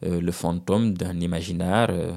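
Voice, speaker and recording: A man in his thirties from Senegal, whose first language is Serer, answering interview questions in a measured, hesitant way with frequent fillers and restarts. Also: low-pitched, steady tone, vocal fry, darkish tone. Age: 30s. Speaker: guest author.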